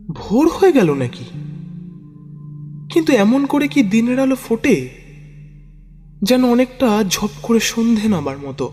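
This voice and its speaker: A man voicing an awestruck tantric straining through a ritual in an audio story, theatrical and halting. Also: high voice, darkish tone, voice pushed up. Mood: dazed, uneasy. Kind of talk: audio story dramatic monologue. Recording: long reverb, music bed underneath.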